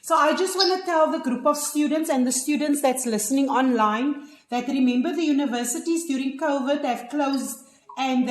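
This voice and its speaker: A woman's voice: high voice, reverberant. A woman hosting an online support session, guiding applicants and restarting words as she thinks. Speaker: session host advising applicants.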